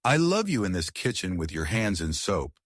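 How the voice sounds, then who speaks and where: An earnest, firm wealthy father, as a male narrator voices him, close to the narrator's own deep register. His delivery rises and falls with feeling. The recording is close and dry. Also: big pitch swings, normal speed, a bit bright, dry recording, full character voice. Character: earnest wealthy father, middle-aged man.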